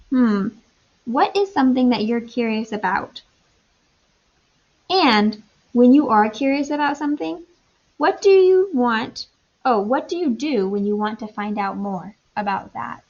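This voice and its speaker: High-pitched woman teacher reading to kids. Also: highs cut off. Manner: animated, simple wording with repetition for small children. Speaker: teacher reading aloud to young children. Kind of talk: children's read-aloud with questions and commentary.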